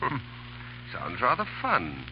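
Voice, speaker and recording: An actor playing a breezy, teasing army officer with an amused, mocking drawl in a 1930s radio drama. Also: male voice, lowish voice, huge pitch swings, takes it slowly, slight background noise, full character voice. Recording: dull 1930s radio recording.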